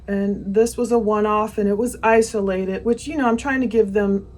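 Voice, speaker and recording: A woman's voice: medium pitch, fairly even intonation, normal speed, a little mellow. A woman hosting a true crime commentary, talking casually and conversationally with opinionated asides.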